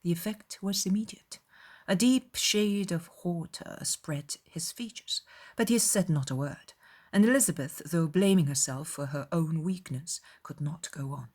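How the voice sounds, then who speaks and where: Lowish-voiced woman narrating a novel. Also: a little slow, a little mellow, husky. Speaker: audiobook narrator. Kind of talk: scripted literary narration.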